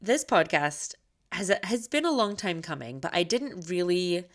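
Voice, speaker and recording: Podcast host, thirties, woman from Scotland; light Scottish-American blend, lowish voice, earnest and open. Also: big pitch swings, measured pace. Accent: slight Scottish mixed with American. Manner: earnest and candid, conversational. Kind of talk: personal podcast monologue.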